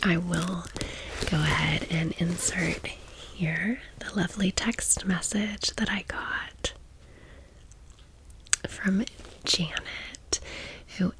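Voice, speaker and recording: Whispering American woman, slow and creaky. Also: on the low side, fairly even intonation, a bit bright, poor audio. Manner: chatty, playful, with slangy phrasing. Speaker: ASMR video host. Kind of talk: whispered ASMR storytime and haul.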